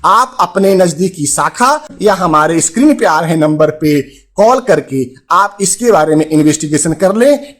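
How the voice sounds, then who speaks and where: A man with an Indian accent hosting a finance advice channel, addressing viewers formally and emphatically, repeating phrases for stress. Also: high voice. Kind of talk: presenter addressing viewers with a consumer alert.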